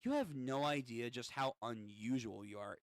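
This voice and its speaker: A man's voice: medium pitch, no room sound, clean studio sound, lightly acted. Smug, menacing witch, read by a man. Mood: intrigued. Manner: smug, measured. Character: smug, threatening woman witch from a manga.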